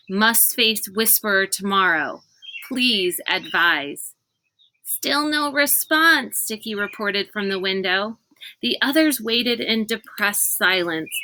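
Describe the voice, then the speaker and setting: A woman reading a children's book aloud as an expressive narrator. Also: medium pitch, a little hiss or hum, rough recording. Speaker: read-aloud reader.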